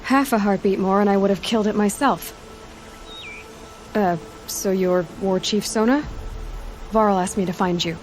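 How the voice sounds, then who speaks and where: A woman playing a determined young huntress in a video game, talking in a direct, dry, quick-witted way. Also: mid-range voice, a little hiss or hum, close to an everyday voice. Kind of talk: video game cutscene acting.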